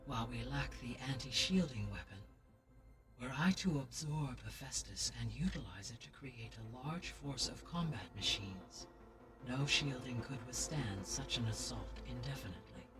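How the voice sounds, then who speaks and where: An actor voicing a calm, formal artificial intelligence in a video game, speaking in a precise, measured way. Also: male voice, medium pitch, distant mic, over music, lightly acted.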